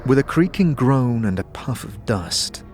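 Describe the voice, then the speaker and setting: A man narrating a scripted fantasy audio drama in a measured, descriptive storytelling manner. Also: medium pitch, animated, darkish tone, faint music behind.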